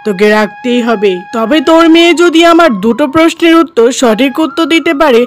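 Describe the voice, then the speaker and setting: A woman's voice: high voice, animated, a little fast. A woman voicing a stern, solemn old dervish who speaks with authority in a cartoon folk tale. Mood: stern, demanding.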